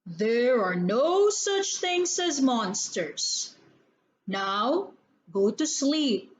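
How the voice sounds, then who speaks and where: Woman playing a slow-talking, firm, weary father. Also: fairly high pitch, very animated, slight background noise, heavily acted, low-quality recording. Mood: firm, dismissive. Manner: matter-of-fact, firm. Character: firm, weary father dismissing his child's fears. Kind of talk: children's storybook read-aloud.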